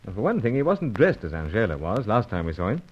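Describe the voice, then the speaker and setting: Modest spy chap, lowish voice, clipped and wry, muffled old radio sound. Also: male voice, sing-song, fast talker, breathy edge. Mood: puzzled, earnest. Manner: clipped phrasing with dropped words, hesitant, understated. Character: gentlemanly pipe-smoking British secret agent, wry and modest. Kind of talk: old-time radio serial acting and narration. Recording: dull, lo-fi old radio recording.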